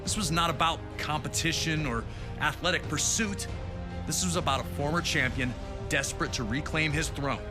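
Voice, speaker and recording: A man with a fairly high voice hosts a sports documentary. His delivery is scripted narration that builds up a rivalry. Music plays clearly underneath. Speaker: documentary host. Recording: music behind voice.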